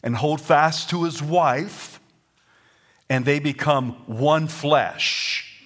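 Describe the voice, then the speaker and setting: Southern man preaching, fairly high voice, energetic pulpit delivery, jumps in loudness. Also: extremely slow. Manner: lively preaching, rising to near-shouts and singing. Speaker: pastor. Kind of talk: sermon.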